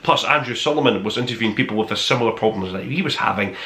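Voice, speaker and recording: A man's voice: lowish voice, very fast, sharp tone. A man hosting a casual book review, talking to the viewer in an enthusiastic, rambling, conversational way. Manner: enthusiastic, effusive. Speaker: podcast host reviewing books. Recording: roomy, echoing room sound.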